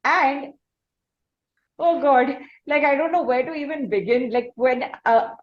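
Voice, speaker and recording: A woman's voice: high voice, unhurried. Indian woman interviewer in a roomy, muffled recording. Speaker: interviewer, host. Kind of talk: conversational interview.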